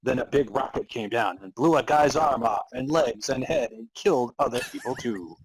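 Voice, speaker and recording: A man's voice: medium pitch, normal speed, rough recording. A man co-hosting a comedy podcast, reading aloud theatrically and chatting casually, often amused. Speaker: podcast co-host. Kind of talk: dramatic reading of fan fiction and casual banter.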